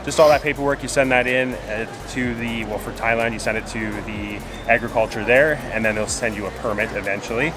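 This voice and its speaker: A man's voice: mid-range voice, fairly even intonation, a little fast, few pauses, music in the background. A man talking casually to camera as a travel vlogger in an airport. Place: busy airport.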